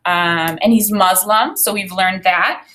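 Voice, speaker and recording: A woman teacher in her thirties reads to young learners in a bright, forward voice of medium pitch. Her delivery is expressive and deliberate, moving between storybook reading and thinking aloud as in a lesson. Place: small indoor room with desk and bookshelf.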